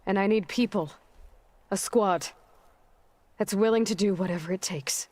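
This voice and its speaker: A woman's voice: mid-range voice, moderate pace, darkish tone, no echo, natural voice. A woman playing a determined, guarded young warrior heroine in a video game, with firm, terse, dry delivery. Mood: resolute. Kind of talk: dramatic video game acting.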